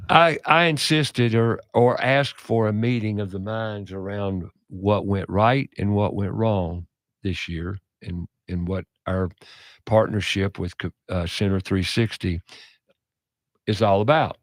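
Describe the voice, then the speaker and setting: A man hosting a radio talk show, speaking in a loud, passionate, preachy way. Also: on the low side, unhurried, no echo.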